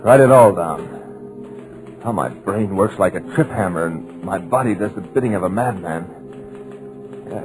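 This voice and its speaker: A man's voice: lowish voice, rough recording. A man playing a hypnotized male writer in an old radio drama, narrating his own helpless terror in urgent bursts. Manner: breathless, urgent inner narration. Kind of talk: 1940s radio drama acting. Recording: old radio recording with music behind.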